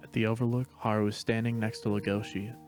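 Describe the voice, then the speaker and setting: A man narrating stage directions in a steady, matter-of-fact reading voice. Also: lowish voice, fast, faint music behind. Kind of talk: plain read-aloud narration.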